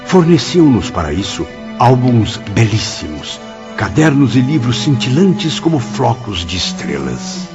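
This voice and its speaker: A man's voice: mid-range voice, sing-song, unhurried, lo-fi sound, music in the background. An actor playing an earnest, sorrowful spirit writer who narrates his afterlife memories in formal, literary language for a radio drama. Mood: solemn, reflective.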